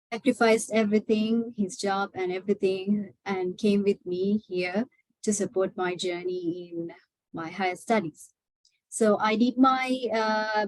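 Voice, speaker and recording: Slow, hesitant young woman presenter. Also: mid-range voice, no room sound, low-quality recording. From Sri Lanka. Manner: hesitant, many filler sounds. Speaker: PhD student presenting a webinar. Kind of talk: webinar presentation.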